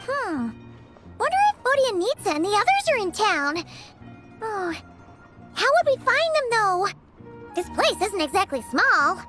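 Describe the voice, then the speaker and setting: A tiny floating fairy girl in a video game, cheerful, chatty and childlike. The voice is extremely high and swings about eagerly in exclamations. Loud music plays behind it. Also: very animated, unhurried, pauses often, heavily acted. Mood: wondering. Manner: exclamatory, childlike, refers to self by name.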